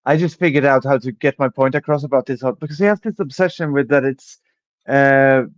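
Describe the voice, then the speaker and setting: A man co-hosting a casual reaction chat, halting and profane with many restarts. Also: mid-range voice, no room sound, dull audio. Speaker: podcast co-host.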